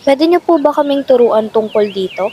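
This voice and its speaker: A woman with a Filipino accent and husky voice playing an eager, earnest young visitor in an amateur radio drama. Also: high-pitched, lively intonation, moderate pace, mellow, slight background noise. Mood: curious.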